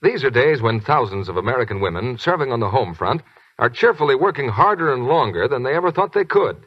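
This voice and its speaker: A man announcing and reading a soap commercial on a 1940s radio show, smooth and persuasive. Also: mid-range voice, very animated, fast, no echo. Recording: dry, muffled 1940s radio broadcast.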